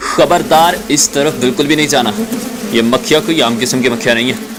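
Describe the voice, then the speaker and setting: A man's voice: medium pitch, a little fast, background noise, natural voice. A man playing a cautious, bossy young male university student in an amateur audio drama, with an emphatic, dramatic delivery. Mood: urgent warning.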